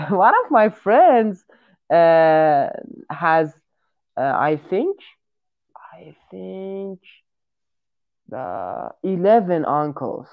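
A man in his twenties from Iran chats slowly in halting English, filling his pauses with hesitations. His voice is smooth and clear. The audio is dull and lo-fi. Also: high voice, sing-song. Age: 20s. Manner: halting, searching for English words with many fillers. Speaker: guest on a chat show. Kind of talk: casual chat.

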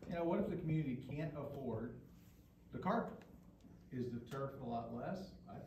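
A man's voice: mid-range voice, expressive, moderate pace. A man answering questions at a school board meeting, talking plainly with many restarts, picked up from across a large meeting room. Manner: conversational, with frequent restarts. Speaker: school administrator. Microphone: far camera. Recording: echoey room, far from the mic, background noise.

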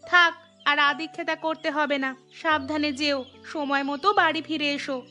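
A woman voicing a caring, gently scolding village wife in a cartoon story. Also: high voice, steady tone, moderate pace, faint music behind. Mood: fussing, affectionate. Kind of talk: Bengali cartoon story acting.

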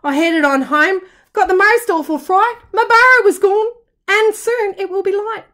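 A woman's voice: very high voice, normal speed, bright, forceful tone, pitched above the performer's own voice. A woman voicing an itchy, hard-done-by male wombat in a children's picture book, plaintive and exclaiming. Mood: upset.

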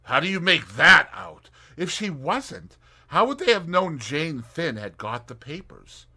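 A man's voice: a little high, very animated, unhurried, sharp tone, breathy edge, pitched above the performer's own voice, exaggerated voice. A man playing a cheerful teasing young man. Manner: bantering, mock-pompous. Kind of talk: audiobook character reading.

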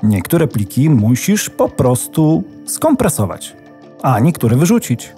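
A woman's voice: very deep voice, very animated, dark tone, soft background music, full character voice. A woman playing a computer's memory as a soothing, bossy yoga guru in a children's radio play, drawing out her words like a meditation teacher. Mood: calm, guiding. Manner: soothing, drawn-out, mock-meditative.

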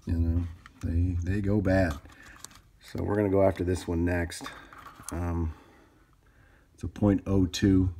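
A man with a deep, mellow voice talks casually through hands-on work, thinking aloud as he goes. It is an unscripted tutorial recorded at a workbench on a nearby camera mic, with clear background noise. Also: level tone, unhurried, long pauses. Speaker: hobbyist repairer demonstrating his work. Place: indoors at a workbench.

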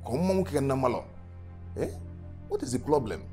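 Man acting as an indignant Igbo suitor, lively swinging voice, faint music. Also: mid-range voice, fast talker, lightly acted. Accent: Nigerian, Igbo. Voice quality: animated, pleading. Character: young male suitor, indignant, proud. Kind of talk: skit acting, mixing Igbo and English.

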